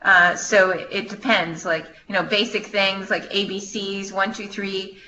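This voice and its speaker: A woman's voice: medium pitch, level tone, unhurried, bright, forceful tone. A woman staff member informally answering questions on a conference call, explaining in a hesitant way as she thinks aloud. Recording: muffled, noisy conference call line.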